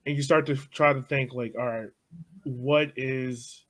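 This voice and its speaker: A young man co-hosting a casual sports podcast, talking loosely with frequent laughs and soft trailing asides. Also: medium pitch, fairly even intonation, measured pace. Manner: loose and chatty, laughs often, trails off softly. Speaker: podcast co-host.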